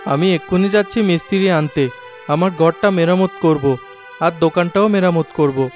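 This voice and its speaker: A man's voice: high voice, normal speed, darkish tone, soft background music, natural voice. A man playing an earnest poor village shopkeeper and husband in a cartoon. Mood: relieved. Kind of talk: cartoon character dialogue.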